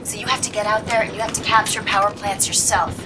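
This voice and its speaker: A woman's voice: mid-range voice, level tone, fast, natural voice. An actress playing a confident female special agent in a video game, brash, casual and punchy. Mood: confident, urgent. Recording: loud music behind the voice.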